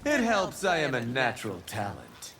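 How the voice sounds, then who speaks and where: A man's voice: medium pitch, huge pitch swings, moderate pace, fairly bright tone, slight background noise. An actor voicing a boastful young male Shaolin champion in a fighting game, cocky and challenging. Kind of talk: scripted fighting game banter.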